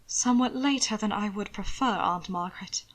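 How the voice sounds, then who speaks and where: A woman voicing a wry, guarded young nobleman who speaks in a hushed, dry half-whisper. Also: mid-range voice, moderate pace, lightly acted.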